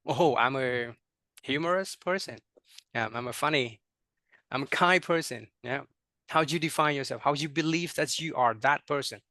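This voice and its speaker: A man's voice: medium pitch, moderate pace, sharp tone, dry recording, studio quality. A young man with a Vietnamese accent leading an online teacher workshop, with many fillers and repeated words, often checking in with "right?". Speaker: teacher trainer.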